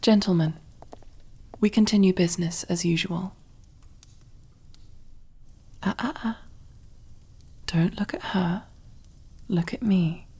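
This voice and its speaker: A woman playing a commanding, possessive and silkily affectionate female mafia boss in an ASMR roleplay, speaking intimately in a breathy, husky voice that often drops to a whisper. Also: on the low side, fairly even intonation, full character voice. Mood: cool, businesslike. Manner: slow, controlled, softly commanding, intimate.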